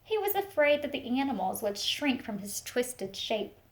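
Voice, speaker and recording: A woman reading a picture book aloud to young children, expressive and speaking to them directly with teacher-like questions and asides. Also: sits high, moderate pace. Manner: expressive storytelling, talks directly to young listeners.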